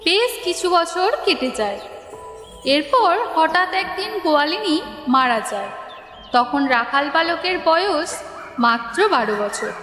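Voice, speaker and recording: High, lively woman storyteller in reverb. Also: unhurried. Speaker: narrator. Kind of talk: scripted children's story narration. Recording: echoey reverb, music underneath.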